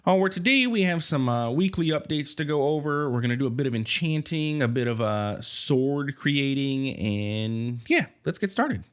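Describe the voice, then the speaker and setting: A man's voice: medium pitch, lively intonation, normal speed, hardly any pauses, clean tone. A man with a head cold, sounding congested, casually commenting on his gameplay as the host of a video series. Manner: chatty, rambling, friendly asides. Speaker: let's play host.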